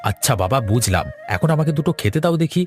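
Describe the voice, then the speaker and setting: A man's voice: mid-range voice, sing-song, fast, darkish tone, lightly acted. A man voicing a practical, conciliatory village farmer husband in a folk-tale cartoon. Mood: placating. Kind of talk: animated cartoon voice acting. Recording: music under the voice.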